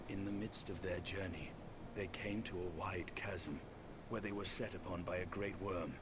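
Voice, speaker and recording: An actor playing a grave, noble knight in a fantasy video game, speaking in formal, archaic phrasing. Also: male voice, low-pitched, heavy background noise. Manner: solemn, formal, archaic diction. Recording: voice under loud orchestral game music.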